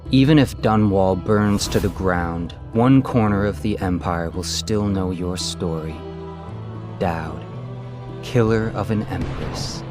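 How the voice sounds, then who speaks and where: An actor playing a calm, otherworldly male god-spirit who tells a story in a measured, detached way in a game. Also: low voice. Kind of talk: voiced game narration. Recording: game music behind.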